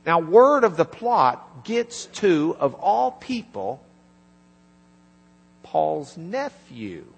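Guy preaching slow in a big echoey hall, mains hum underneath, chatty, does little quiet voices for the people in the story. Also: a little high, sing-song, vocal fry, slightly rough. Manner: conversational, acts out dialogue in quiet asides. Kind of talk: sermon retelling a scripture story.

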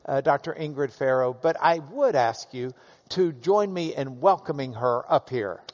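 A man's voice: mid-range voice, lively intonation, moderate pace. A man hosting a live onstage interview, jovial and joking, with many fillers. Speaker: host interviewer. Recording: dull sound with long hall reverb.

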